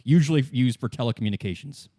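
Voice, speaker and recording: A man narrating a tabletop roleplaying game as game master in a casual, halting, conversational way with dry asides. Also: medium pitch, dark tone, dry recording.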